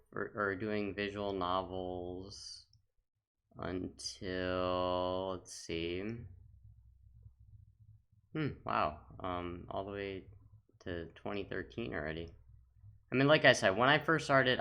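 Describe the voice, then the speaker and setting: A man with a very low voice and a bright, punchy tone hosts a gaming video. He talks casually and without a script, often halting as he works through his thoughts out loud. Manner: halting, thinks aloud. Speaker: host of a gaming trophy-hunting channel. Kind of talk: casual unscripted commentary while scrolling.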